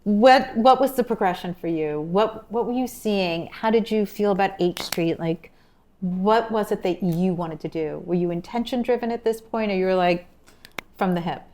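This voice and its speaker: A woman's voice: mid-range voice, normal speed, darkish tone, a little hiss or hum. Engaged woman hosting an interview. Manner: engaged, prompting, frequent backchannel. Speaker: podcast host. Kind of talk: conversational interview.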